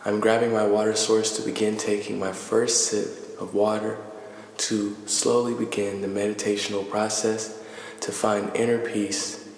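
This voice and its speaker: A man leading a guided meditation in a calm, deliberate, soothing manner, pausing between measured phrases. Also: fairly low pitch. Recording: echoey reverberant voice with faint noise.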